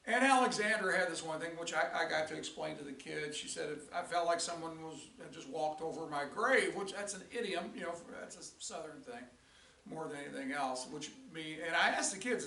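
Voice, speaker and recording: An older male teacher recapping a lesson in a rambling way with many restarts, his voice raspy and tired. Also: on the high side, fairly quick, bright, forceful tone, far from the mic. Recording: echoey room, voice from off-mic.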